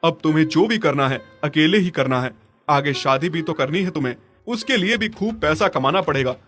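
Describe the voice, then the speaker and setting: A man's voice: on the high side, expressive, brisk, a bit bright. A man voicing a practical, pushy neighbour who gives insistent advice in a cartoon story. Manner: insistent, advising. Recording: music behind the voice.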